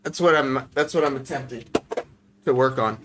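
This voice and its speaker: A man's voice: medium pitch, normal speed, fairly bright tone, a little hiss or hum. A middle-aged man hosting a hobby livestream, chatting casually and teasing as he works at his bench. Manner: teasing, joking. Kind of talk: casual chat while building model kits. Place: at a hobby workbench on a group video call.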